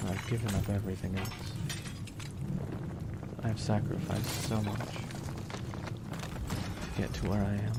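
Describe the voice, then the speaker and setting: A man playing a brooding, grieving supervillain in a dramatic ASMR roleplay, speaking solemnly and haltingly with weighty pauses. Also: lowish voice, heavy background noise, natural voice. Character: brooding, grieving male supervillain saying farewell to his nemesis. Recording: loud music and rain behind. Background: music and falling rain.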